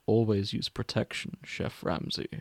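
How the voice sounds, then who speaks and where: A man voicing a suave, flirtatious cannibal doctor with a quiet, insinuating manner in a comic story reading. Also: lowish voice, steady tone, a little slow, natural voice. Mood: suave, teasing. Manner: quiet, insinuating, attempted hiss.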